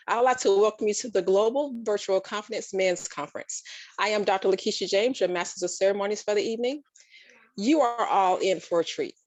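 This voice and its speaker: A woman's voice: medium pitch, no room sound. A woman hosting an online conference as master of ceremonies, upbeat and enthusiastic as she introduces the speakers.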